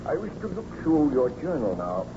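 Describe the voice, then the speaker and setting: An actor playing an anguished, guilt-ridden scientist baron in a theatrical, formal old radio melodrama style. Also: male voice, fairly high pitch, big pitch swings, normal speed. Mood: grave, subdued. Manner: theatrical, fervent, formal diction. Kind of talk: 1930s radio melodrama acting. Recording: muffled, noisy old radio recording.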